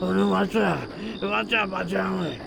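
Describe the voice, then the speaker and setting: A man's voice: sits high, steady tone, moderate pace, gravelly, noisy background, voice pushed up. A man voicing a stout middle-aged village headman crying for help as he chokes in panic. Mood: terrified. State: choking, panicked.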